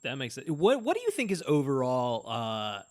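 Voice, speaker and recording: A man co-hosting a podcast, asking questions in a relaxed, loosely phrased way. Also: medium pitch, normal speed. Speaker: podcast co-host. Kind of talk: casual podcast interview.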